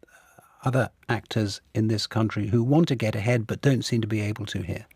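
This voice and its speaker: A man's voice: fairly low pitch, steady tone, a little fast, darkish tone, slightly rough, no echo, clean studio sound. A man presenting a radio news programme, pressing his guests with challenging questions and frequent interjections. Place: radio studio.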